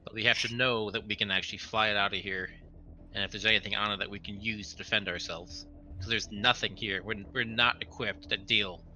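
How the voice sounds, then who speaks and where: A man's voice: lowish voice, sharp tone, a little hiss or hum, low-quality recording. A man playing a tabletop roleplaying game, talking casually as he plans his character's moves.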